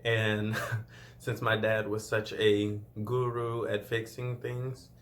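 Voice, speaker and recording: Young man from Louisiana, late twenties, low-pitched, chatty and loose, laughing between answers, camera-mic vlog. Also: flat delivery, takes it slowly. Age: 20s. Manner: chatty, laughs often, rambling asides. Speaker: vlogger. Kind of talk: casual first-video Q&A.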